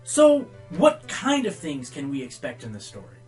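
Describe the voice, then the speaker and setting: A man's voice: a little high, wildly swooping pitch, moderate pace, darkish tone, soft background music. A man playing a wry, easily exasperated pony radio host in a radio play. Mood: wry, presenting. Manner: wry, dry teasing. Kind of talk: radio play acting as presenter.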